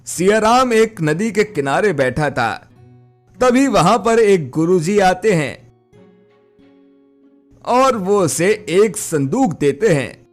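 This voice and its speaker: A man reading scripted narration for an animated moral story as its storyteller. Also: high-pitched, takes it slowly, long pauses, soft background music.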